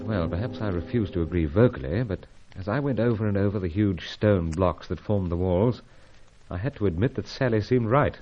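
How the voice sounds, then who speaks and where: An actor playing a suave, steady secret agent in an old radio adventure serial, speaking in a calm, gallant, clipped manner. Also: male voice, sits low, brisk, natural voice. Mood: grave narrating. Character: suave, steady British secret agent hero, adult man. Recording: dull, lo-fi old radio recording.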